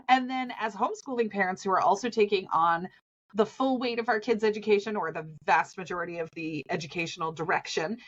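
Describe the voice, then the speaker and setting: A woman's voice: mid-range voice, fairly bright tone. Chatty sniffly Southern woman, slightly muffled. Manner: chatty, laughs often, rambling storyteller. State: sniffly from allergies. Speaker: podcast co-host. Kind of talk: casual podcast chat.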